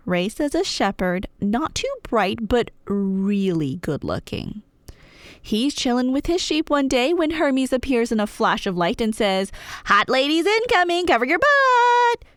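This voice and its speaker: A woman retells a tale in a chatty ASMR roleplay style, slipping in wry, playful asides. Her pitch is mid-range and very animated, and the sound is dry, with no room on it. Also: slow, dry recording. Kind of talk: casual, scripted myth retelling.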